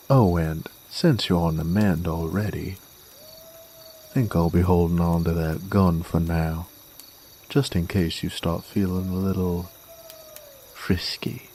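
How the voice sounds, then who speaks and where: A man playing a sardonic, menacing werewolf bounty hunter in a Western audio drama, with a folksy American Western drawl and a gravelly, unhurried delivery. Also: sits low, darkish tone, a little hiss or hum. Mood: taunting, amused. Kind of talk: Western audio roleplay, intimate dramatic monologue. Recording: faint outdoor night ambience with crickets. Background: crickets and insects.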